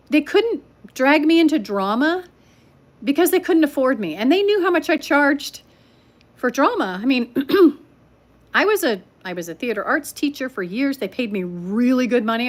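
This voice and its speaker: An older woman telling stories at home on a livestream, chatting with viewers and laughing often. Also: sits high. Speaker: livestream storyteller. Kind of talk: casual livestream chat. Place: home living room.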